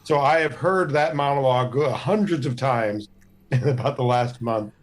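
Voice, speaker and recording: Casual man with a medium-pitched voice. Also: moderate pace. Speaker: tabletop game player. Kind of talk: casual chat at a game table.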